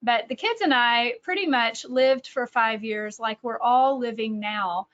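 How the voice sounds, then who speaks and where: A middle-aged woman with a Texan accent speaks in a somewhat high voice. She talks informally, like a presenter thinking aloud and correcting herself as she goes. The sound is a little dull and lo-fi, as on an online call. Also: a little slow, fairly bright tone. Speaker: speaker, educator and author. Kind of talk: informal online talk with personal anecdotes. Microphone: video call.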